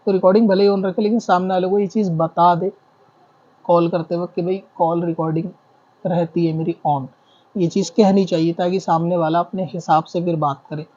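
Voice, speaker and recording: A high-voiced man gives religious guidance like a teacher. His intonation stays very level as he reasons point by point and restates things. The recording is dull and lo-fi. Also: flat delivery, a little fast, a little mellow. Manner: didactic, reasoning step by step with repetition. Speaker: Islamic scholar answering questions. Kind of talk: explanatory religious ruling in question-and-answer form. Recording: dull lo-fi audio.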